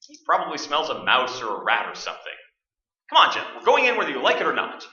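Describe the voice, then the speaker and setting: Give a high, animated voice to a brash radio host on a ghost hunt , acted by a man on stage. He is a wisecracking skeptic who narrates aloud in radio play style. The sound is distant and echoey. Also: fast talker, exaggerated voice. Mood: exasperated. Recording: roomy stage recording, distant, dull.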